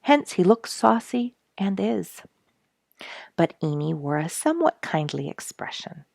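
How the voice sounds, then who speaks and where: A woman reading a story aloud as host, in an expressive scripted storybook style with emphatic, dramatic stresses. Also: mid-range voice, unhurried, gravelly, dry recording, clean studio sound. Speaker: story reader and show host.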